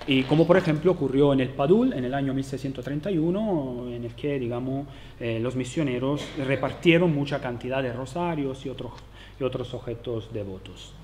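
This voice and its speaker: A man's voice: medium pitch, brisk, a little mellow, a little hiss or hum. A man who speaks Spanish as a second language, with an Italian accent, giving an academic conference lecture, hesitant and full of restarts and fillers. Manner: hesitant, many fillers and restarts, tag questions.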